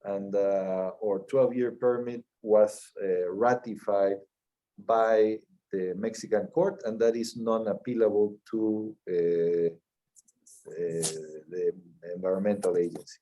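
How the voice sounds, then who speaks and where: A middle-aged man from Peru with a Peruvian Spanish accent, answering interview questions in a measured way, often restarting and repeating words. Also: on the low side, steady tone, mellow, highs cut off. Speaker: mining company CEO.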